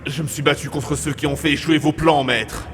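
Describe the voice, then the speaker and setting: Guy doing a hot-tempered villain henchman, grandiose, higher voice, audio drama with loud music. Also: fairly even intonation, normal speed, lightly acted. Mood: submissive, pleading. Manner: menacing, grandiose declarations. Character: vengeful, hot-tempered fire-throwing villain, servant of a master. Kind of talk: amateur audio drama acting. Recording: music behind the voice.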